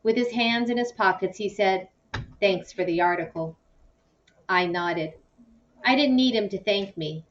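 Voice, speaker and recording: A woman reading a children's novel aloud in an expressive, storytelling way, acting out the dialogue. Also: medium pitch, normal speed, a little hiss or hum. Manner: animated, acts out dialogue. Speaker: audiobook-style reader. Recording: distant, muffled microphone.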